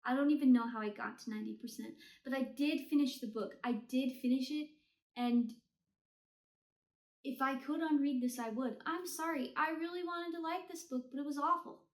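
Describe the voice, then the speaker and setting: A woman's voice: sits high, fairly even intonation, moderate pace. A woman giving a casual, chatty book review with blunt, hyperbolic opinions and slangy phrasing. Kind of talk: casual chatty ranking of books read. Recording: roomy, echoey sound.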